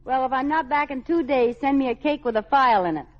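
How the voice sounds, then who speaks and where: An actress playing a friendly, sympathetic housewife with playful wit in a 1940s radio comedy. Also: female voice, high-pitched, fast talker, lightly acted. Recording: dull vintage radio recording, audience, hiss.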